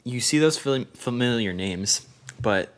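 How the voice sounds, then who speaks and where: A man co-hosting a casual sports podcast, reading out player stats with a loose, jokey manner and halting restarts. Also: mid-range voice, lively intonation. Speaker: podcast co-host.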